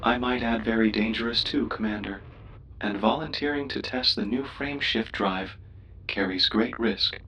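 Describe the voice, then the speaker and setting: A man voicing a polite, cautious starship AI in a sci-fi game, speaking in a formal, deferential, measured way. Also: fairly low pitch, sharp tone, distant mic, slight background noise, rough recording. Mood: cautious, concerned. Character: polite, cautious male ship computer AI, formal and curious. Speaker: ship's computer voice assistant. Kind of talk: scripted sci-fi game voice pack.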